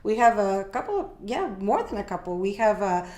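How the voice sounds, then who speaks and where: Older woman, mid-pitched voice, conversational explaining, frequent check-ins, echoey room. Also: very animated, fairly quick, mellow. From Peru. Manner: explanatory, checks in with "right?" and "you know". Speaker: podcast guest, organic food business owner. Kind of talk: conversational interview.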